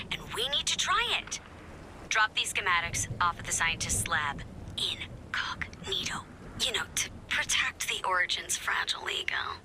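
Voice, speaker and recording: A woman's voice: mid-range voice, close to an everyday voice, rough recording. A woman voicing a flippant, impatient resistance member in a video game, with sarcastic, chatty asides. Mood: exasperated, wry. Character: flippant, impatient female resistance member scheming behind her leader's back. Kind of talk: animated video game voice acting.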